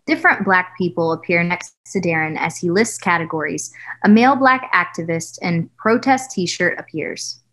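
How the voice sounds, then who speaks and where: A woman's voice: on the low side, animated, moderate pace, a bit bright. A young woman reading screenplay directions as scripted narration over a video call. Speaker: stage direction reader. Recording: noisy room video call.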